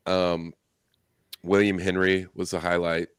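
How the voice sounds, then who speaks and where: Hoarse, deep-voiced man chatting casually. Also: little pitch movement, unhurried, dry recording, studio quality. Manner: laid-back, rambling with fillers. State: hoarse, losing his voice. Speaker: livestream co-host. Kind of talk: casual chat.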